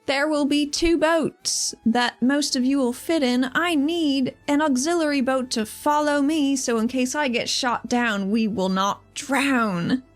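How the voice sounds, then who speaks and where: Woman playing a dry, guarded, higher-voiced mercenary leader. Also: a little slow, hardly any pauses, soft background music. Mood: matter-of-fact, commanding.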